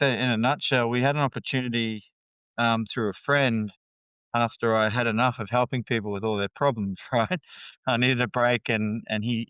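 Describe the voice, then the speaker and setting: A man's voice: lowish voice, fairly bright tone, clear, resonant tone, dry recording, muffled recording. A man with an Australian accent, a guest talking candidly in a casual interview, rambling with fillers and restarts.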